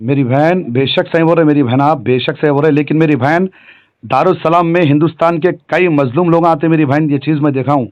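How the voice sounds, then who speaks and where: A man's voice: on the high side, fairly quick. A middle-aged man with a Hyderabadi accent talking on a phone call, insistent and repetitive, addressing the listener respectfully. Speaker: local political activist.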